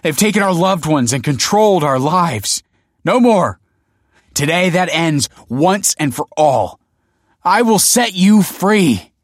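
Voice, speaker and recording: A man's voice: a little high, animated, takes it slowly, no echo, studio quality, voice pushed up. A man voicing a desperate, bitter male rebel in an audiobook story, impassioned and pleading. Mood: defiant.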